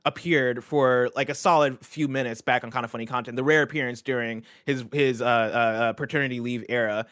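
A man's voice: medium pitch, steady tone, brisk, fairly bright tone, dry recording, studio quality. A man hosting a casual gaming news show, talking energetically and chattily with lots of fillers. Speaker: podcast host.

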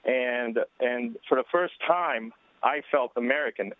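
A man from Syria phones in to a talk radio show, his voice thin and tinny over the line. He speaks earnestly and at a measured pace, pausing often to find his words. The sound is dry, with no room around it. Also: mid-range voice, dry recording. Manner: earnest, measured, with frequent hesitations. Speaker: caller. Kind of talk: call-in radio conversation.

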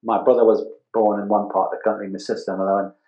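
An older man from northeast England with an English accent, talking casually as an interview guest, explaining haltingly and repeating words and phrases. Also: on the low side, level tone, lo-fi sound. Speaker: interview guest, advertising art director. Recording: roomy, echoing sound.

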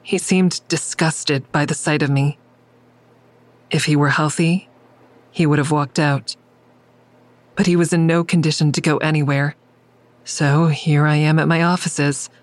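A woman's voice: low voice, steady tone, unhurried, long pauses, no echo. A woman playing a troubled woman who tells her own story in scripted first-person audio drama narration. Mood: somber, anxious.

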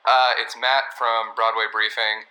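An actor playing a chatty theatre reporter leaving a casual, hesitant voicemail in an audio comedy. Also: male voice, lowish voice, level tone, a little slow, sharp tone, rough recording. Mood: casual, probing.

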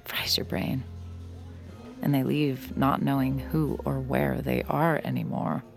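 A woman's voice: rumbling low, little pitch movement, slow, creaky, faint music behind, exaggerated voice. An actress playing a tired, sardonic bartender who dryly narrates her own story in an audio drama. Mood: dry, weary narration. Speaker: narrator and lead character. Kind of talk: audio fiction drama with first-person narration.

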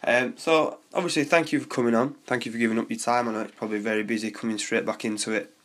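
A young man hosting a podcast interview, chatting in a relaxed way with a blocked nose from a cold. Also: medium pitch, quick pace. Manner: relaxed, chatty, hesitant fillers. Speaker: podcast host.

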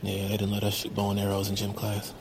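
A man's voice: on the low side, monotone, fast, creaky, background noise. An actor playing a sarcastic, skeptical man in a comedy audio drama, speaking casually and with incredulity. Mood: dry banter. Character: sarcastic, skeptical male buddy on an amateur mission. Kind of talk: comedic audio fiction acting.

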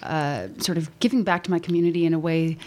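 A young woman in her twenties whose first language is Albanian, a musician guest on a radio show, talking quickly with frequent ums and restarts. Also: sits low, steady tone, a little mellow. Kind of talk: casual radio interview. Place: radio studio.

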